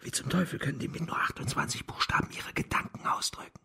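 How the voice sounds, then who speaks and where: An actor playing a blunt, hardy army colonel in a radio drama, speaking in a coarse, hearty way. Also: male voice, fast, pushed and bright, whispered, close to an everyday voice. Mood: blunt, incredulous.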